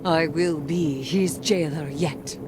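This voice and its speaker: A woman playing a grim, vengeful sorceress queen in a video game, speaking in a solemn, deliberate, imperious way. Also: low-pitched, steady tone, background noise. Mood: commanding, menacing. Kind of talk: dramatic video game cutscene acting. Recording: loud music under the voice.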